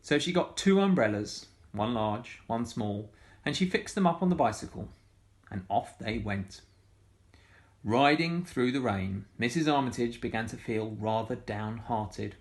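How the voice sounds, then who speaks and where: A man reading a picture book aloud to schoolchildren, animated and full of sound effects. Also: mid-range voice, measured pace. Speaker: storytime reader for schoolchildren.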